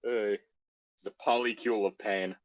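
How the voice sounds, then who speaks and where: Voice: medium pitch, steady tone, normal speed, muffled recording, a little hiss or hum. A podcast co-host in casual comedic banter over fan fiction read aloud, joking and teasing.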